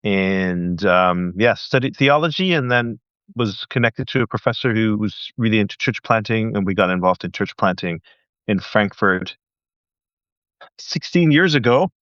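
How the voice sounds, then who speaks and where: A man in his thirties who grew up in Thailand, a podcast guest in casual interview chat, talking in a chatty, joking way with quick asides. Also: lowish voice, animated, a bit bright, dry recording, dull audio. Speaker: podcast guest, missionary leader.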